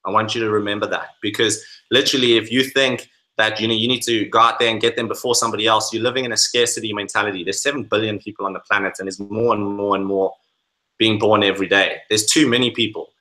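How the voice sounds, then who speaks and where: Male call participant, low pitch, forceful edge, earnest encouragement. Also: fairly even intonation, quick pace, rough recording. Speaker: team member sharing on a call. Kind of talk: motivational testimony.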